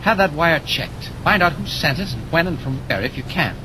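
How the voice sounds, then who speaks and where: An actor playing a confident, determined reporter who is secretly a superhero, in assured, urgent 1940s radio style. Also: male voice, a little high, expressive, normal speed, natural voice. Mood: resolute. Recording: noisy old 1940s radio broadcast, vehicle noise.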